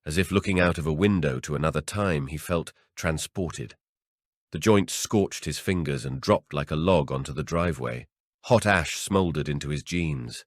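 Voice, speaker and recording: Man, low deep voice, horror audiobook narration, scripted reading, dry studio sound. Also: normal speed, no echo.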